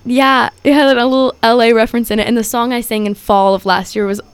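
A young woman chatting as a guest in an interview. She talks in a relaxed, rambling way with lots of fillers. Her pitch jumps up sharply whenever she gets excited. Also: fast, clean tone, dry recording. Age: young adult. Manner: chatty, many fillers, voice leaps high when excited. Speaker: guest singer. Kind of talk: casual interview chat.

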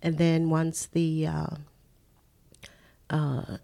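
An older woman from the Caribbean, a guest in an interview, speaking unhurriedly and halting with fillers. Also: low voice, completely flat delivery, creaky, warm tone. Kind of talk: casual interview chat.

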